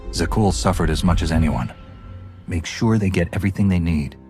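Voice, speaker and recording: An actor playing a resolute, principled military commander in a sci-fi game, giving clipped orders with authority. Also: male voice, low voice, fairly quick, dark tone. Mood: firm, serious. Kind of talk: cinematic video game acting. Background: music.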